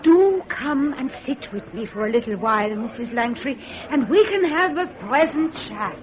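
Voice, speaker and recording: Gracious royal hostess, period drama, animated intonation, slightly dull recording. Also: female voice, sits high, moderate pace. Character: gracious, politely composed royal princess at a ball. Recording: muffled sound, busy crowd behind.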